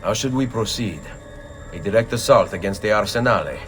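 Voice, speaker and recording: An actor playing a resolute, courteous young Renaissance assassin with an Italian accent in a video game. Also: male voice, lowish voice, expressive, a little hiss or hum, natural voice. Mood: businesslike, determined. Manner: measured, courteous. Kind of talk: video game cutscene acting.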